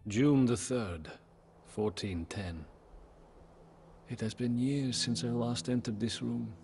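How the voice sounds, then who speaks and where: An actor playing a solemn, grieving widowed medieval king, narrating his story in a game cutscene with grave, measured pauses. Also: mid-range voice, a little mellow, soft background music. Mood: sorrowful, remembering. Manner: grave, measured, pausing, often breathy at phrase ends. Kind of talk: dramatic first-person storybook game narration.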